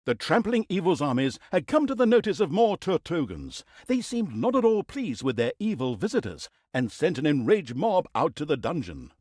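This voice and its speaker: A man's voice: fairly high pitch, very animated, moderate pace, few pauses, darkish tone, dry recording, clean studio sound. A man playing a mock-grand, sardonic narrator of a villain's exploits in a fantasy video game, telling the story in a hammy theatrical way. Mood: wry, dramatic.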